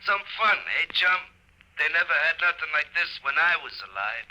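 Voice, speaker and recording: A man's voice: on the high side, sing-song, normal speed, dull audio, very noisy. A man playing the chummy ghost of a disreputable old hobo, talking in folksy slang in a 1950s radio comedy. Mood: cheerful, chummy. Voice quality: odd, unearthly voice. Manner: folksy slangy tramp talk.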